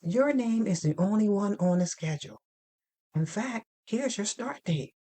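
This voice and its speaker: A woman's voice: medium pitch, lively intonation, unhurried, warm tone, voice pushed up. A woman voicing a breezy, irreverent, gossipy receptionist who jokes in candid slang for an audio drama. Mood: confiding. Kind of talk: audio fiction character dialogue.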